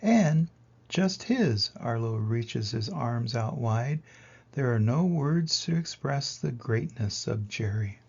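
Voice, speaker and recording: Older guy reading a kids book, raspy and creaky, dramatic pauses, bit of a dull recording. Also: medium pitch. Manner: expressive, dramatic pauses and emphasis. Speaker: reader of children's picture books. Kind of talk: animated storybook read-aloud.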